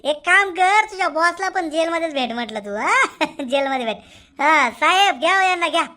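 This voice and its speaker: A man plays a cocky cartoon gang member with an extremely high, piercing bright voice. He teases and swaggers through comedy dialogue like a born wisecracker. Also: expressive, moderate pace, heavily acted. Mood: smug, mocking.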